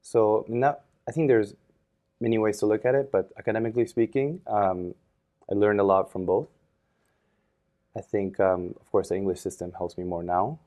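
Deep, mellow man, reflective guest. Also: fairly even intonation, normal speed. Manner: thoughtful, many fillers and restarts. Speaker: podcast guest. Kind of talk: casual interview.

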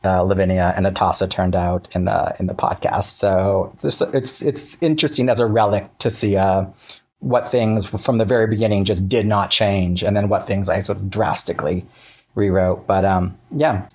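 Male interview guest, lowish pitch, casual storyteller who chats haltingly and often rephrases. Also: darkish tone.

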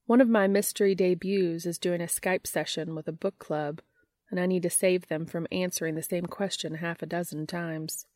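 Narrator as a brisk, wry woman. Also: fairly low pitch, fairly even intonation, darkish tone, no echo, studio quality, natural voice.

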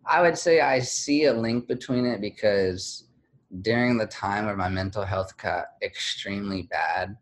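A man speaking as an interview guest, candid and reflective, pausing often with fillers and restarts. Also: fairly low pitch. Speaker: guest, blogger.

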